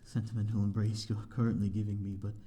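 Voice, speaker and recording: Man voicing a courteous solitary vampire, low pitch, very mellow dark tone, formal old-fashioned wording, hesitant, ASMR roleplay. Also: level tone, quick pace, natural voice. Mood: flustered, polite. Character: lonely, courteous male vampire living in an abandoned manor. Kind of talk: ASMR audio roleplay, addressing the listener.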